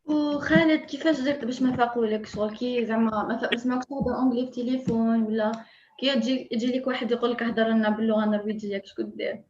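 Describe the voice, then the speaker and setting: Very fast-talking woman in a roomy recording. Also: on the high side, fairly even intonation, a little mellow, slight background noise. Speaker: co-host. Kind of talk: conversational online talk show. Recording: dull, roomy lo-fi sound.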